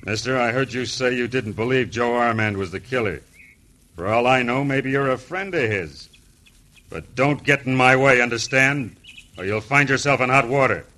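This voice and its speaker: A man's voice: medium pitch, moderate pace, bright, forceful tone, lightly acted. Man acting a menacing crooked Western sheriff, noisy recording. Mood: threatening. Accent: American. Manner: gruffly bossy, menacing. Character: crooked, threatening Old West acting sheriff. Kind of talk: old-time radio western acting. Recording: noisy vintage radio recording.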